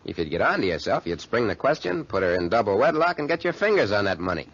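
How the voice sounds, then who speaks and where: A cocky, cynical young playboy. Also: male voice, on the low side, sing-song, quick pace, a bit bright, full character voice. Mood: scornful. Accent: American. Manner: sneering, dismissive. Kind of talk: 1940s radio acting. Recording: muffled 1940s radio recording.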